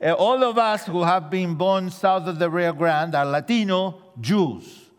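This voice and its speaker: A man with an Argentine accent preaches in a lively, sing-song voice that sits high for a man. His sermon runs through funny stories, and he drops his voice low and quiet for each punchline. The sound carries the long reverb of a church hall. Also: unhurried, clean tone. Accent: Argentine Spanish. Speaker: guest preacher.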